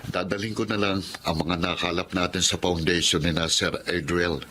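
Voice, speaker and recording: A man's voice: deep voice, steady tone, moderate pace, bright, forceful tone, a little hiss or hum. A man acting a kind, earnest eldest brother in a Filipino radio drama, with a Filipino accent. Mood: friendly, earnest. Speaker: voice actor.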